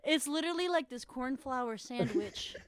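A woman's voice: sits high, lively intonation, moderate pace, slight background noise. A woman from Venezuela, a guest artist on a podcast, talking candidly and teasing the host. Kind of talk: casual interview chat.

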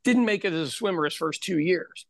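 A middle-aged man who tells stories in casual podcast talk. He drops into the voices of the people he quotes, and he swings his pitch up and down as he goes. His voice is recorded dry and close. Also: a little fast, no echo. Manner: animated storyteller, acts out quoted voices. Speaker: podcast host. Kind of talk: casual conversation.

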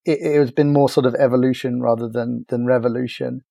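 A middle-aged man from talks through his story in a relaxed, stop-start way, his voice gravelly and mellow. He keeps an even, level tone and is heard close and dry. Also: medium pitch, dry recording. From Ireland. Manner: halting, many restarts and fillers, relaxed. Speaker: podcast guest, agency founder. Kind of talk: casual interview chat.

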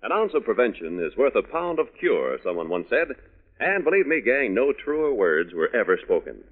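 Animated old-time male radio announcer. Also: mid-range voice, normal speed. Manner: folksy, instructive, emphatic. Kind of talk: 1940s scripted radio announcing. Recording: old narrow-band radio recording.

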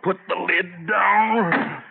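A man's voice: high-pitched, steady tone, very slow, vocal fry, slightly rough, heavily acted. An actor playing an imperious wealthy man gripped by fear, speaking in agitated, clipped exclamations in old-time radio horror style. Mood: frantic, panicked. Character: rich, imperious man reduced to panicked terror. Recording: muffled old radio recording.

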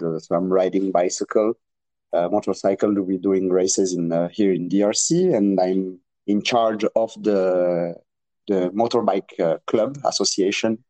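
A man's voice: low-pitched, fairly even intonation, slow, darkish tone, dry recording, poor audio. A man in his twenties from Congo with a French accent, speaking as a guest on a remote call, hesitant and searching for words with many restarts. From Democratic Republic of Congo. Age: 20s. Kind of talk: casual interview.

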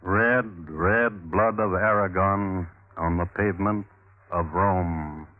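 A man hosting and narrating a scripted 1950s radio crime show in a measured, wry, literary manner. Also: sits low, fairly even intonation, slight background noise. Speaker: host and narrator. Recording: muffled old radio recording with music. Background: music.

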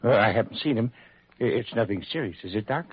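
An actor playing a stubborn, evasive guest-house owner in an old-time radio drama, stammering and repeating himself in protest. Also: male voice, fairly low pitch, expressive, fast talker, slightly rough. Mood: worried. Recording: dull lo-fi old radio recording.

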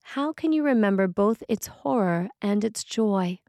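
A woman priest reading a scripted sermon in a measured, deliberate and solemn manner. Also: medium pitch, animated, dark tone, no echo. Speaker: Episcopal priest reading a sermon written by a colleague. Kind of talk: read sermon, scripted narration.

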